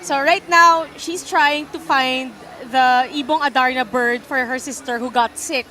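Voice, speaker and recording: High-voiced Filipino woman developer. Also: fairly even intonation, unhurried, bright, forceful tone. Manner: chatty, explains with examples. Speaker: game developer. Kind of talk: explaining her game in an interview.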